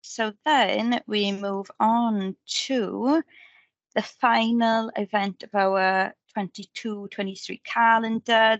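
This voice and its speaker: A woman's voice: medium pitch, a bit bright, no echo, muffled recording. A woman giving an informal work presentation in an upbeat, chatty, emphatic way. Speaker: committee member presenting at an annual meeting.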